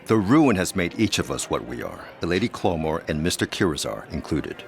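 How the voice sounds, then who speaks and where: An actor playing a suave, calculating aristocratic gentleman in a gothic audio drama, speaking in courtly, formal phrases. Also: low-pitched, big pitch swings, moderate pace. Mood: cool, pragmatic.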